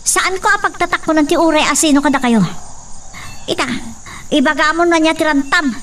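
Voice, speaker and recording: Radio drama heroine played by a woman: young, defiant, high voice, Ilocano accent, slightly distant recording. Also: a little fast, a bit bright. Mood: defiant, confronting. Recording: distant mic, faint background noise.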